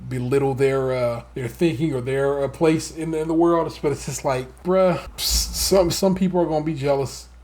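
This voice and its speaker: A man's voice: a little high, normal speed, few pauses, darkish tone. Middle-aged male podcast host, casual and profane, lots of restarts. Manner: loose, profane, laughing, talks in restarts. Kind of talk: casual chat.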